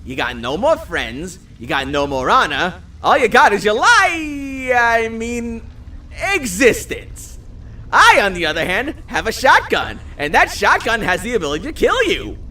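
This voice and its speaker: A man's voice: sits high, sing-song, unhurried, pushed and bright, distant mic, pitched above the performer's own voice, exaggerated voice. A man voicing a cocky, foul-mouthed supernatural hunter in an audio drama, sarcastic and taunting. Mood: taunting, menacing. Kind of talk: dramatized audio fiction dialogue.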